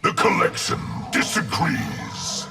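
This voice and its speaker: Fighting game undead soul-construct: solemn, declamatory, raised gravelly voice that swings widely, music loud behind. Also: male voice, medium pitch, wildly swooping pitch, slow, heavily acted. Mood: defiant. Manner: formal, declamatory, speaks as we. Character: undead construct of many souls, solemn and vengeful. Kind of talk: fighting game character dialogue.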